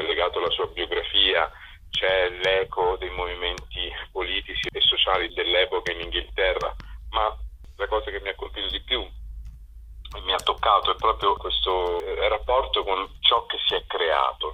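A man's voice: low-pitched, slightly rough. A man giving reflective, hesitant answers in a casual interview, searching for words as he goes. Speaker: translator being interviewed. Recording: dull phone call, lo-fi radio sound.

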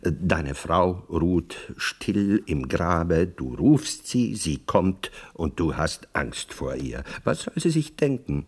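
Radio drama support: skeptical, wryly amused friend, deep husky voice, very expressive intonation, teasing yet hesitant. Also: male voice, takes it slowly, hardly any pauses, heavily acted. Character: skeptical, amused male friend humouring a grieving widower. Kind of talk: German radio play acting.

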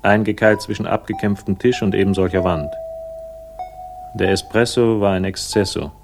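An actor playing a world-weary private investigator, dry, sardonic and slightly gravelly, in a 1960s German radio crime drama. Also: male voice, low-pitched, fairly even intonation, normal speed, over music. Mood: wry, brooding. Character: world-weary, wry, tall male private investigator narrating.

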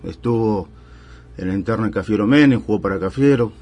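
A man's voice: lowish voice, a little fast, slightly rough, muffled recording. A man in his thirties with an Argentine accent, a union leader telling his story in a radio interview with many fillers and restarts. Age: 30s. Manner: rambling, filler-heavy storytelling.

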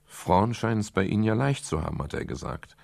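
An actor playing a world-weary private detective in a German crime radio play, wry and measured, with a gravelly, husky voice. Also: male voice, on the low side, lively intonation, darkish tone. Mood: reflective. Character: world-weary male private detective narrating his case. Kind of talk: German crime radio play, first-person narration and dialogue.

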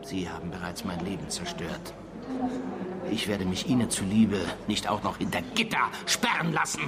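A man's voice: deep voice, lively intonation, normal speed, creaky, a crowd in the background. An actor in a German radio drama playing a bitter, indignant widower, strained as he confronts his wife's killer. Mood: resisting, strained.

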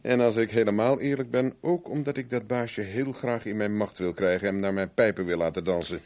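An actor playing a wry, stubborn private investigator in a 1970s radio play, brisk and ironic. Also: male voice, fairly low pitch, a little mellow, natural voice. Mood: determined.

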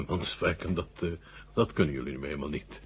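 Senior Soviet marshal: very low, husky, urbane, slyly frank; vintage radio drama, muffled sound. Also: male voice, brisk, exaggerated voice. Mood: measured, explaining. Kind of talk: 1970 Dutch radio drama acting. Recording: muffled old radio recording.

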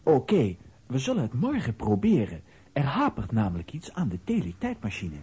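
An actor playing an earnest professor in a children's audio play, lecturing with slight hesitation. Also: male voice, mid-range voice, wildly swooping pitch, moderate pace, dark tone, husky, exaggerated voice. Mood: explaining. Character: learned male professor, earnest and explanatory.

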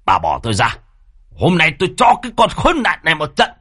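A narrator acts out an outraged middle-aged father in an audio story. His voice is husky and leaps upward in pitch. He hisses and laments with domineering fury. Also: huge pitch swings, fairly quick, fairly bright tone, heavily acted. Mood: enraged. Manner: hissing, lamenting exclamations.

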